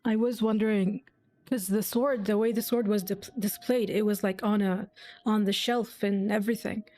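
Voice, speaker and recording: A woman's voice: mid-range voice, fairly even intonation, moderate pace, mellow. A woman giving casual, emotionally invested reaction commentary on a game, thinking aloud and swearing freely. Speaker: game streamer. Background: faint game music.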